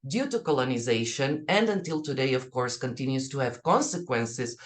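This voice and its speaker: A woman's voice: rumbling low, lively intonation, normal speed. A woman from Portugal with a Portuguese accent gives an online academic presentation as a researcher, reflective and often checking her points with "right?". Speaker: academic researcher, keynote speaker. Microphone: video call.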